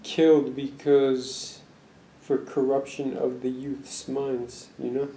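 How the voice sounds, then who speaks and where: Mellow-voiced male interviewer, echoey room. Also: medium pitch, little pitch movement, takes it slowly. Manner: probing, provocative questions. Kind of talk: casual interview questions.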